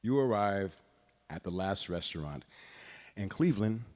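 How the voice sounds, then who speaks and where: A man reads a literary story aloud in a deliberate, expressive way, pausing between phrases. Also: on the low side. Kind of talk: dramatic literary reading.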